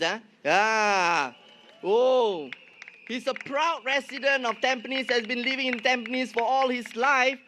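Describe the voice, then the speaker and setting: A man emceeing a rally and introducing speakers in a slow, drawn-out way. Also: very high-pitched, pushed and bright. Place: outdoor rally with loudspeakers.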